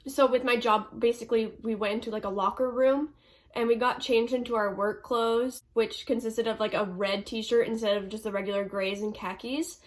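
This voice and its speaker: A woman's voice: a little high, steady tone, normal speed. A young woman vlogger with a stuffy nose, answering viewer questions in a chatty way, full of ums and likes. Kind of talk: casual Q&A vlog.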